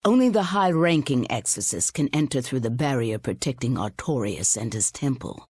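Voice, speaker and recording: A woman playing a calm, self-deprecating elderly informant in a video game. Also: very deep voice, moderate pace, husky, no room sound, heavily acted. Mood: matter-of-fact.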